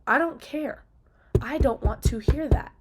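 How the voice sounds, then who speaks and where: A woman's voice: high voice, a little slow. A woman talking casually and off the cuff in an opinionated, emphatic rant, exasperated and casually sweary. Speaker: video diarist.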